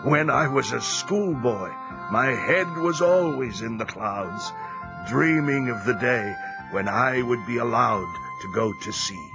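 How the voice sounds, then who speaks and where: A seafarer recounts his life in measured audio drama narration. The voice sits slightly dulled in the recording, with music clearly behind it. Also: medium pitch, expressive, muffled recording. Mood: reminiscent.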